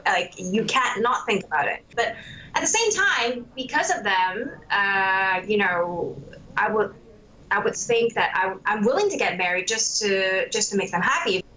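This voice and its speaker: A woman's voice: medium pitch, bright, forceful tone, poor audio. A woman in her late twenties, raised in Hong Kong and Canada, talking reflectively in a candid interview with many fillers. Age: 20s.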